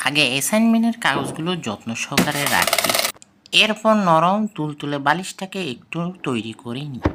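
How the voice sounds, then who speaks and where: A performer with a woman-like voice plays an excitable, boastful cartoon cat who talks to itself in dramatic exclamations. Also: medium pitch, normal speed, heavy background noise. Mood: smug, relaxed. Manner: exclamatory, dramatic, talking to self. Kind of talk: cartoon dub, comic monologue.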